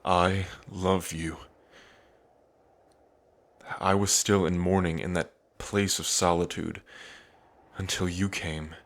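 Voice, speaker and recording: A man playing an earnest, devoted dragon prince in an intimate ASMR roleplay, pausing between phrases. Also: low-pitched, little pitch movement, a bit bright, full character voice. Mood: tender, lovestruck. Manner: slow, earnest, with long pauses between phrases. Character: lovestruck dragon prince, grieving, tender, devoted.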